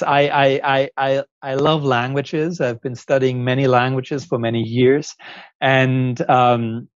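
A man in his forties with a German accent, a guest author speaking in a casual video-call interview, thoughtful and halting with many ums. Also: medium pitch, no room sound, muffled recording. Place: home office, indoors. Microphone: earphone headset on video call.

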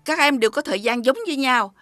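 A woman voices a schoolteacher in an animated children's cartoon. She sounds high-pitched, announcing in a kindly but firm, instructive manner over clear music. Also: fast talker, natural voice. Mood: regretful, firm. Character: kindly but firm female art teacher.

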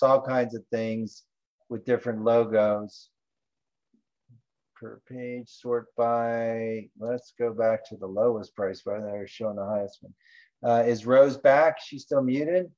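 A man's voice: medium pitch, darkish tone. A man hosting an online training call, talking through a screen share in an informal, rambling way as he thinks aloud, with lo-fi call audio. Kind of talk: casual screen-share presentation.

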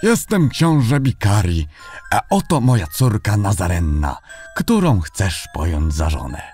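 A stooped old duke from a storybook, acted by a male narrator, speaks in a low, lively voice. He is a courtly father smoothing things over, with music playing behind him. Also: unhurried. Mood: formal.

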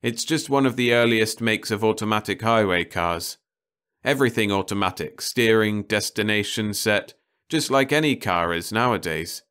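A man's voice: on the low side, moderate pace, a bit bright, clear, resonant tone, voice pushed up, close to an everyday voice. A man voicing a matter-of-fact husband in an audiobook, firm and explanatory. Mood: explaining. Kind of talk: audiobook character voice.

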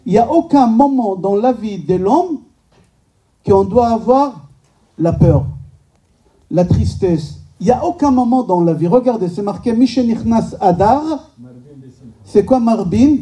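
A man's voice: high-pitched, lively intonation, unhurried, pauses often, mellow, distant mic, rough recording. A male rabbi, a native Hebrew speaker, giving an informal lecture with rhetorical questions, emphatic repetition and joking asides. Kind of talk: informal religious lecture to a live audience. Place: medium-sized indoor synagogue function room.

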